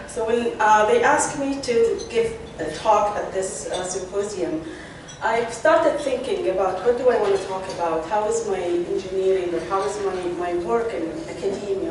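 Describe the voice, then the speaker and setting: A woman in her fifties, originally from Egypt, speaking in a friendly, halting way as a panelist. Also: medium pitch, background noise. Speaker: engineering professor, panelist. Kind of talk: panel talk to a symposium audience. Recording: echoey hall from far off.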